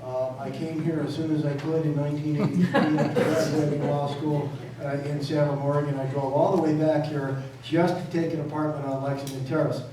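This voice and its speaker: A man's voice: a little high, flat delivery, brisk, hardly any pauses, a crowd in the background. An older man from Massachusetts giving an informal, hesitant campaign introduction with wry humor. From Massachusetts, United States. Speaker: city council candidate, attorney. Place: large indoor public forum room. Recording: echoey hall, distant mic, background noise.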